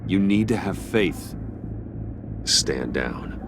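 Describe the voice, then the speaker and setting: A steady, earnest American man in a video game drama. His low voice is persuasive and varied in pitch. Loud outdoor rumble sits behind him. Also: heavily acted. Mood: earnest, firm. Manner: earnest, measured pleading. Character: earnest, steady adult male hero, persuasive and principled.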